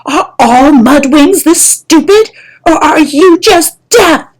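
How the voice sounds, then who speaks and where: A woman's voice: high voice, expressive, takes it slowly, pitched above the performer's own voice. A woman voicing a fierce older female dragon teacher who snarls, bellows and mocks her pupil in a storybook reading. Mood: bellowing.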